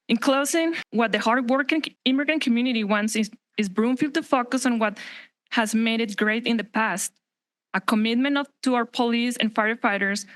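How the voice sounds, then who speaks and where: A woman's voice: on the high side, fairly bright tone. A woman from Mexico with a Mexican Spanish accent, her first language Spanish, reading a prepared public comment at a council chamber podium microphone, earnest with some slips and restarts.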